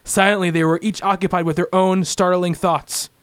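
A man's voice: sits high, level tone, moderate pace, clear, resonant tone, no echo, studio quality. A man narrating a comic-book radio play in a theatrical, portentous scripted style.

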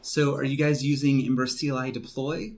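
A man with an ordinary mid-range voice hosts a casual technical interview. He asks questions in a relaxed, conversational way. His talk is loose and he often says "like". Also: mid-range voice, a little fast. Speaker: podcast host.

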